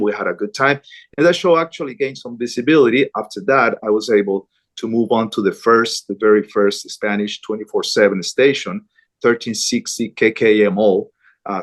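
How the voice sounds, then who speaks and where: A middle-aged man from Colombia, a news anchor, talking earnestly in an interview, with frequent restarts and fillers. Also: mid-range voice. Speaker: interview guest, Spanish-language news anchor. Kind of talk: conversational interview.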